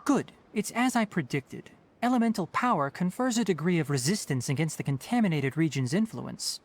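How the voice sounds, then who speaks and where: A man's voice: a little high, lively intonation, a little fast. A man voicing a young fox-eared forest ranger and scholar in a video game, precise and a little curt, explaining things in a clipped, matter-of-fact, lecturing way. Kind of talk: video game cutscene dialogue.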